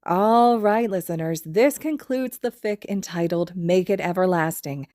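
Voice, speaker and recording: A woman narrates fiction as an audio story reader. Her pitch sits a little low. The recording is dry, with no room sound. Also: a little slow, dark tone, no room sound. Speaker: podfic reader.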